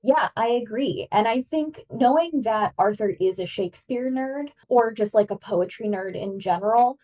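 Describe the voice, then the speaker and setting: A woman's voice: a little high, moderate pace, warm tone, far from the mic, highs cut off. A woman guest-hosting a podcast, explaining verse and meter with eager, nerdy enthusiasm. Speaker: guest host. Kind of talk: podcast discussion.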